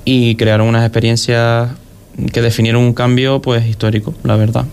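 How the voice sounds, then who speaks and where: A man's voice: mid-range voice, little pitch movement, moderate pace, a little mellow, clear, resonant tone. A man co-hosting a local radio show, talking in an easygoing, joking way. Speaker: radio co-host. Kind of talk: casual radio chat.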